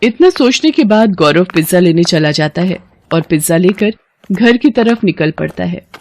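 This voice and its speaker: A woman's voice: on the low side, lively intonation, normal speed, a little mellow, no room sound. A woman storyteller reading scripted narration for a moral bedtime story.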